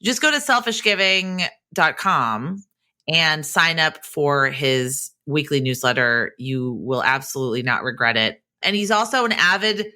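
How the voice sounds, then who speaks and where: A woman's voice: low voice, expressive, unhurried, bright, forceful tone. A woman from the Boston area hosting a podcast with an upbeat, enthusiastic manner. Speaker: podcast host. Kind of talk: podcast interview and intro.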